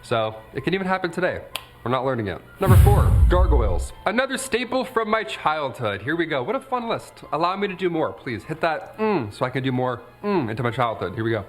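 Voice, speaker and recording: A man's voice: fairly high pitch, fairly quick, soft background music. An American man in his late twenties hosting a countdown video, mixing scripted commentary with joking, conversational asides. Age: late 20s. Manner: jokey, animated, conversational. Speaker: stand-up comic.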